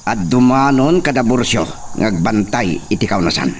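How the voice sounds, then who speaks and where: A man's voice: fairly low pitch, lively intonation, moderate pace, close to an everyday voice. A man playing a domineering young master in a radio drama, taunting and imperious as he speaks. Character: cruel, domineering young master bullying a servant. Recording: distant voice, faint background noise.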